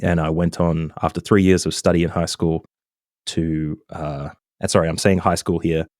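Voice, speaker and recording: Deep-voiced man, mild Australian accent. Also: moderate pace, no echo, clean studio sound. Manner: relaxed and chatty, with many ums and self-corrections. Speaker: podcast guest. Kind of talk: casual interview chat.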